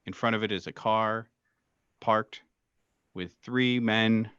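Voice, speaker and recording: Man, game master, slow halting narration, bright tone, slightly muffled audio. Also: on the low side, fairly even intonation, smooth, no room sound. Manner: halting, full of fillers, descriptive.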